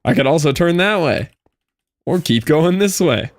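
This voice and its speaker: A man's voice: fairly high pitch, expressive, normal speed, dry recording. A young man casually chatting with his live-stream audience while playing, reading out and answering chat messages.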